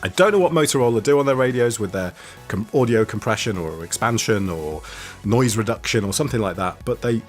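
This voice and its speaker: A man's voice: lowish voice, lively intonation, brisk. Man reviewing, music behind. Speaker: product reviewer. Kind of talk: casual walkie-talkie review to camera.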